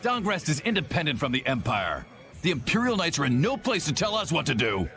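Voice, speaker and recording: A man's voice: a little high, very animated, brisk, a bit bright. An actor playing a proud, indignant townsman in a video game, speaking insistently. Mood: defiant. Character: defiant, proud townsman of a guild city. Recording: music under the voice.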